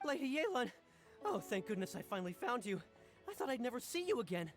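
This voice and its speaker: A man's voice: very high voice, very animated, fast, exaggerated voice. A man voicing an eager, flustered male guard in a video game, earnest and apologetic in how he talks. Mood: relieved. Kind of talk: game dialogue. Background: game music.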